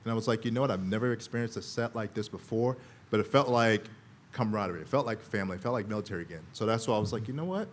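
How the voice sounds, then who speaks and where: Deep-voiced man rambling on a panel. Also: very fast, a little hiss or hum. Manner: rambling, quotes himself. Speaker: actor and panel guest. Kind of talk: casual panel talk. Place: convention panel room.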